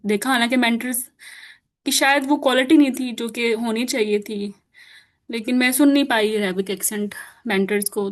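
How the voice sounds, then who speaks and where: Woman teacher, Urdu first language, chatty and laughing, fairly high voice. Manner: chatty, laughs often, mixes English into Urdu-Hindi. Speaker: course teacher. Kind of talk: informal online class talk.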